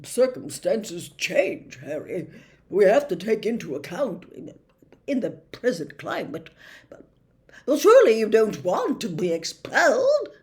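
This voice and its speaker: A woman's voice: mid-range voice, very animated, measured pace. A woman voicing a jovial, blustering middle-aged male government minister in a storybook reading, effusive and given to hemming. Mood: awkward, hedging. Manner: effusive, hesitant, hemming and throat-clearing. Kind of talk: audiobook character reading.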